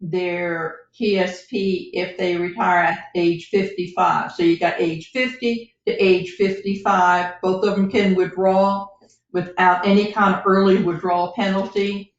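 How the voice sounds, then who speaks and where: A woman giving an explanatory podcast talk as a financial advisor, in a halting, step-by-step manner. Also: on the low side, steady tone. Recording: roomy, distant, dull lo-fi microphone.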